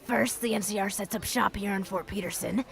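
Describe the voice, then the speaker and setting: A woman playing a jaded, blunt wasteland wanderer in an audio drama, with a dry, sardonic delivery and gravelly voice. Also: medium pitch, steady tone, fairly quick, natural voice. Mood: wary. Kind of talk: post-apocalyptic audio drama acting.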